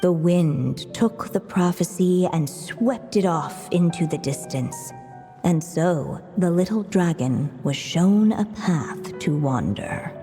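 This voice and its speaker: A woman playing a gentle storybook narrator, telling a fairy tale in measured, lyrical phrases with reverb. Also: on the low side, lively intonation, faint music behind. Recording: long reverb on the voice.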